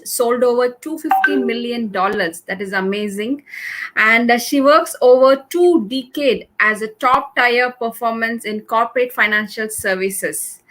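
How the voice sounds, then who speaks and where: A woman from India hosting a talk show, introducing her guest enthusiastically with halting phrasing and fillers. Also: a little high, fairly bright tone, rough recording. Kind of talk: casual interview hosting.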